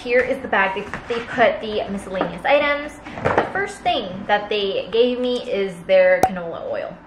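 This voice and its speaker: A young woman chatting casually in a food vlog, unboxing gifts on her sofa and reading aloud haltingly while laughing at herself. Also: medium pitch, animated, echoey room, very noisy. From Canada. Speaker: food vlogger. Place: living room, seated on a sofa.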